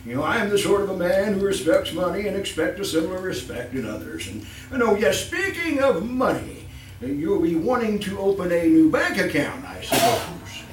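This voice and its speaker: A man's voice: high voice, big pitch swings, moderate pace, roomy sound, distant mic, noisy background. A man playing a fussy, murderous master of the house in a 1930s-style radio horror play, with a stammering, repetitive, chuckling delivery. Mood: oily, nervous. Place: live stage performance in a room.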